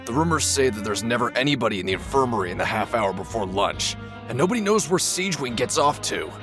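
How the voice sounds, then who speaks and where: A man's voice: mid-range voice, very animated, fast talker, natural voice. A man voicing a sheepish, experienced work chief in a video game, casual and self-excusing. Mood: resigned. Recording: background music.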